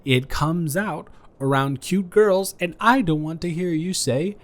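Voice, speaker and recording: A man's voice: on the high side, measured pace, close to an everyday voice. A man improvising a romantic audio roleplay as a flirty, playfully boastful neighbor, talking straight to the listener in a teasing, stammering, rambling way. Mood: flirty, flustered.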